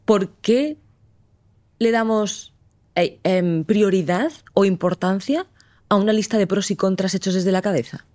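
A woman's voice: mid-range voice, a little slow, darkish tone, no echo. A woman with an accent from Spain explaining things conversationally and assertively, often pushing her point with rhetorical questions. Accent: Spanish from Spain.

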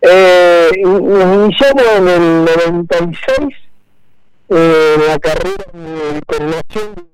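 A middle-aged Argentine man from Santa Fe, speaking in a casual radio interview, halting with many fillers and repeated words. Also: high voice, smooth, rough recording. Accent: Argentine Spanish. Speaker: rally co-driver guest.

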